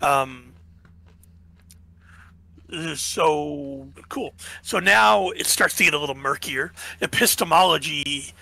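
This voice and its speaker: A man's voice: on the high side, slow, creaky, sharp tone, no echo, low-quality recording. A man co-hosting a podcast, musing through philosophy in casual chat, checking in with "right?" and losing his voice. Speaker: podcast co-host.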